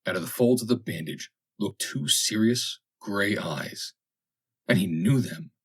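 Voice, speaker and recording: Male audiobook narrator: low pitch, husky tone, dramatic scripted read with expressive range. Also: measured pace.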